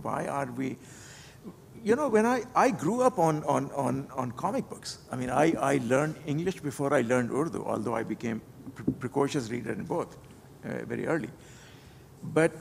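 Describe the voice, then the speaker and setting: An older man from Pakistan, reflecting on his life at a conference panel, halting and full of repeated words and restarts. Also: mid-range voice, vocal fry. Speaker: panel speaker. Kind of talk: unscripted personal reflection at a panel. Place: conference hall. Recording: long hall reverb with faint noise.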